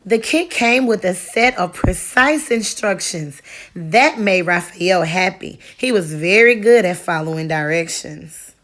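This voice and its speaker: Slow-paced woman reading a children's storybook. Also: fairly low pitch. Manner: deliberate, expressive storytelling. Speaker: teacher reading aloud. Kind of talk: expressive children's picture book read-aloud.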